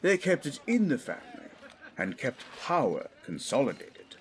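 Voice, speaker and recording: An actor playing a learned, eager 1920s English archaeologist and father in an audio drama, middle-aged, speaking in a formal, professorial, deliberate way. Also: mid-range voice, huge pitch swings, a little hiss or hum. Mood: fervent, proud. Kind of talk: scripted audio drama acting.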